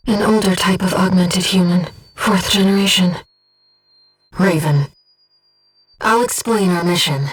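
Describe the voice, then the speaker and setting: A woman voicing a gentle, earnest disembodied female companion in a video game, speaking formally and with measured composure as mission support. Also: mid-range voice, slightly rough, far from the mic, poor audio. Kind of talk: scripted video game voice acting, mission briefing.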